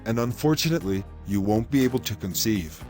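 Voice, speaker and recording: A man playing a grave, hesitant doctor who speaks in a measured, apologetic way in a scripted drama. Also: lowish voice, lively intonation, darkish tone, faint music behind, close to an everyday voice. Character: grave male doctor breaking bad news. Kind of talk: scripted moral-story drama.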